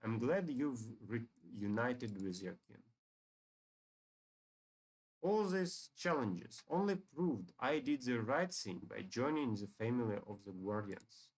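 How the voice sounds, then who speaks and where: A righteous dragon hero of a fantasy game, read aloud by a man. The delivery is solemn and earnest, slow in pace, with pitch that moves around widely. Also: expressive, rough recording.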